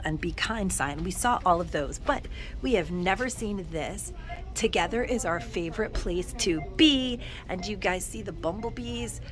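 A woman in her forties, chatting enthusiastically as she films herself shopping in a store on her phone. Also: lowish voice. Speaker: shopping vlogger. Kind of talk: casual shop-with-me narration. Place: inside a discount store, walking the aisles. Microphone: handheld phone camera.

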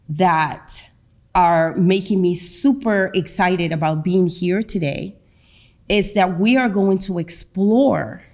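A middle-aged woman speaks at a lectern microphone in a meeting room full of listeners. Her voice sits a little low, and she takes her time, leaning on key words with deliberate emphasis. Garifuna is her comes through. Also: a little mellow. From Honduras. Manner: slow, deliberate, emphatic delivery. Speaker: guest speaker. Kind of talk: public talk at a lectern. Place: meeting room with a seated audience.

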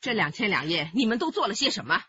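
Stern elderly matriarch, raspy and highly animated, commanding, dull radio-drama sound. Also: female voice, quick pace, no echo, heavily acted. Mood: scolding. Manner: sharp, reproachful, commanding. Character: stern, scolding grandmother, decisive family matriarch.

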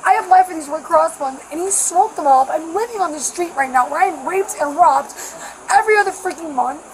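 A woman's voice: very high-pitched, animated, brisk. A distressed, anxious middle-aged American woman pleading with police in a rambling, repetitive, protesting way. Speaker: member of the public stopped by police. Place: large grocery store aisle, indoors. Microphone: officer's body camera, a few feet away. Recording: noisy body-camera audio in a store.

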